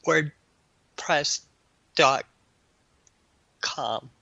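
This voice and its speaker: A man speaking as an interview guest in casual conversation, with a halting, choppy delivery and stammered word starts. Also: on the high side, gravelly, pushed and bright, no echo, lo-fi sound. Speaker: interview guest, author and editor.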